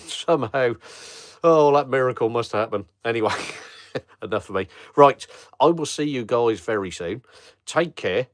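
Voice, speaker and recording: A middle-aged man with a Norfolk accent, hosting a relaxed live chat for viewers of his travel channel, rambling with ums and chuckles. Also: mid-range voice, dry recording, studio quality. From Norfolk, England. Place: home at night, streaming on a phone. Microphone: phone held in portrait mode.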